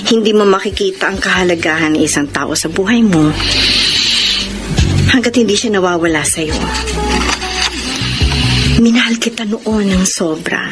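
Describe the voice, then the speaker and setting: A woman acting a wistful woman who has moved on from an old love, with a Filipino accent and deliberate, sentimental radio drama delivery. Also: medium pitch, lightly acted. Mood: bittersweet resolve. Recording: loud music under the voice.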